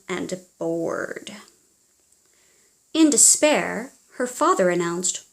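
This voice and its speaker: Woman, slow pace, mid-pitched voice, expressive storybook narration, theatrical delivery aimed at children. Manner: theatrical, addresses children directly. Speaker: children's audiobook reader.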